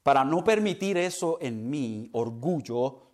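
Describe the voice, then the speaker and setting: A man's voice: medium pitch, animated, unhurried. A man preaching a sermon as pastor, slipping in English words and laughing at his own stumbles.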